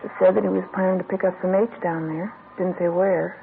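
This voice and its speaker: Tough female informant, wary, blunt, gravelly, tinny old radio. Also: on the low side, steady tone, fairly quick, slight background noise. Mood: guarded, blunt. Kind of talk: 1950s radio drama acting.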